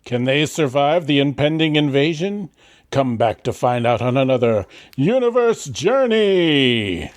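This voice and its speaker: A man's voice: medium pitch, sing-song, unhurried. A man playing a grandiose narrator of a space adventure serial in a dramatic announcer style.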